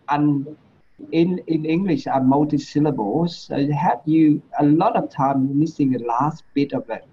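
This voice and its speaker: A man coaching pronunciation informally, repeating words and often saying "you know". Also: mid-range voice. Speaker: teacher. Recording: dull, slightly noisy room recording.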